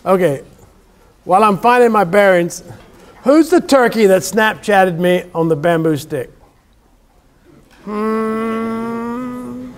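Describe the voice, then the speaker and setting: A man's voice: high-pitched, animated, slow, long pauses. A man from South Louisiana with a Southern US accent, bantering informally with a class as a lecturer, folksy, joking and prone to exclaim. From South Louisiana, USA. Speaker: university lecturer. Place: university classroom.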